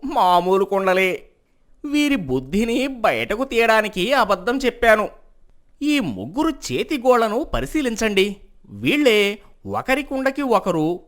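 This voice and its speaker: A woman voicing a witty, confident male court jester in a moral story cartoon, speaking in an emphatic, declamatory way. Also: mid-range voice. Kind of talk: animated moral story dialogue.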